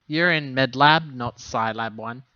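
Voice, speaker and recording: A man's voice: medium pitch, unhurried, pushed and bright, smooth, no echo, lo-fi sound. A man playing a tabletop game and talking casually with the group.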